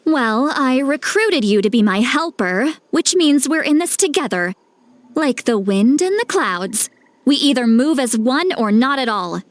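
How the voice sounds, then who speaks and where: A woman playing a caring, self-assured sage in a video game, with an assured, gently advising manner. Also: high voice.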